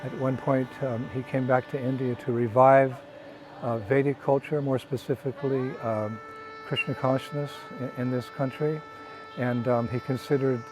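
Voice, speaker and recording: Halting, soft-spoken older monk. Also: male voice, mid-range voice. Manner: soft-spoken, halting, with many ums and restarts. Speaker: traveling monk. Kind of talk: casual spoken reflection. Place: outdoors on a walking pilgrimage path.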